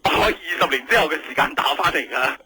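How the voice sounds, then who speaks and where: A man acting an exuberant scientist from the future in a radio drama, with a Hong Kong Cantonese accent and an excitable way of explaining things simply. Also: on the high side, fast talker, crowd noise, lightly acted, low-quality recording. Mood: elated. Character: exuberant male scientist calling from twenty years ahead.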